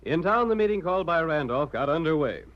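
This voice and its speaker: A man's voice: a little high, lively intonation, fast talker, very noisy. A man narrating a vintage radio western in a dramatic scripted announcer delivery. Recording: muffled old radio, crowd behind.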